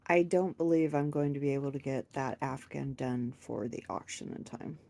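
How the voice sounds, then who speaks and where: A woman chatting casually to camera about her crochet projects, hoarse and stuffed up from a cold, rambling and laughing at herself. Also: rumbling low, moderate pace, dark tone, a little hiss or hum. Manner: rambling, self-deprecating with frequent laughs. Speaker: crochet vlogger.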